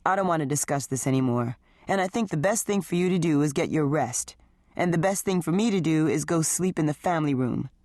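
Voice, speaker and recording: Bitter married man, sarcastic, hostile, read by a woman narrator, low voice, dry sound. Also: brisk, darkish tone, no room sound, close to an everyday voice. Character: resentful, bitter married man in his forties.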